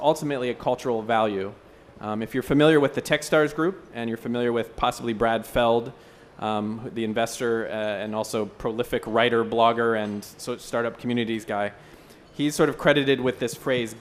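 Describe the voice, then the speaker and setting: A man gives an articulate, explanatory panel answer at a conference. His voice sits at an average pitch and he talks in a measured way, with some noise around him. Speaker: panel speaker from an entrepreneurship foundation. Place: conference session room.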